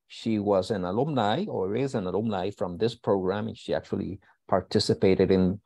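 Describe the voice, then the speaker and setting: A man hosting an online school session, giving housekeeping announcements in a halting way with many uh fillers. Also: fairly low pitch, gravelly, mellow, dry recording. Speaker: host and school founder. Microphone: video call.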